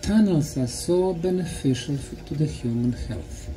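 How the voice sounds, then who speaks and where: A man lecturing in short, emphatic phrases, pausing for an interpreter, with a deliberate, didactic manner. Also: fairly high pitch, lively intonation, music in the background, low-quality recording. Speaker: lecturer and guide.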